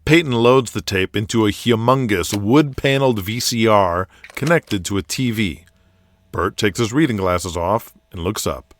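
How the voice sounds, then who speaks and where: A man's voice: fairly low pitch, normal speed, dry recording. A man narrating an audio drama in a dramatic, scripted storytelling style.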